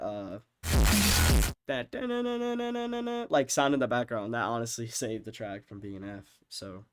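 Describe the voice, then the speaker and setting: A young man with a stuffed-up, nasal voice from being sick, rambling casually with profanity and lots of fillers, often trailing off quietly while he ranks his own tracks. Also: medium pitch. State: congested, sick with stuffed-up nose. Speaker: music producer ranking his own songs. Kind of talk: rambling casual screen-share commentary.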